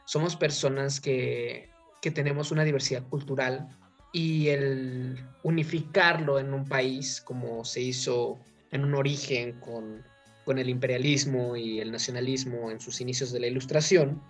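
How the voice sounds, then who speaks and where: A woman's voice: very deep voice, fairly even intonation, unhurried, soft background music. A Mexican woman hosting a talk show, giving her opinions in an informal, discursive way and often checking for agreement.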